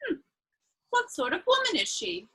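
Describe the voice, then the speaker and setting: A woman's voice: very high-pitched, wildly swooping pitch, moderate pace, pushed and bright, full character voice. A woman playing a pert, playful young society lady in a period stage comedy, gushing as she talks. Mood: teasing, curious.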